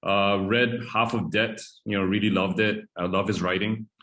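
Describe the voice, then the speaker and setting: Lower-voiced man, sincere and keen, casual call intro. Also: fairly even intonation, moderate pace, a bit bright. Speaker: activist and book club member. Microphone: video call.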